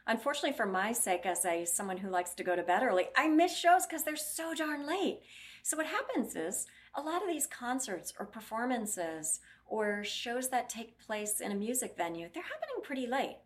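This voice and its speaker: A woman's voice: a little high, big pitch swings, normal speed. A woman voice clinician answering interview questions bluntly, explaining at length and acting out what her patients say.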